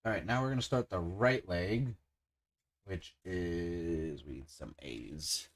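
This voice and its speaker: Man, 30s, extremely low voice, chatty, rambling livestream builder. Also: takes it slowly. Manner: rambling, enthusiastic, frequent "like" fillers. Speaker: streamer building a model kit. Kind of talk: casual livestream chat while building.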